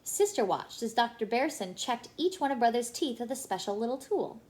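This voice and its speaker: A woman reading a children's story aloud in an expressive, animated way, pausing for emphasis. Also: on the high side.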